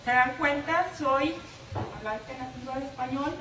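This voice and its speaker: Northern Mexican-accented woman presenter, high voice, engaging; echoey room, distant mic. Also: unhurried, sharp tone, slight background noise. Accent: northern Mexican Spanish. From US–Mexico border, Laredo. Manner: engaging, asks the audience questions, tag "¿verdad?". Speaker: presenter at a teacher workshop. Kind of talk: interactive talk to teachers. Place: lecture room.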